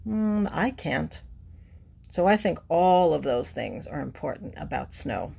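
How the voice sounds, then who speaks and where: Woman, children's read-aloud presenter: low, gravelly voice, explaining simply and asking questions. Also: sing-song, a little slow, mellow. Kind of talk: picture-book read-aloud with teacherly asides to children.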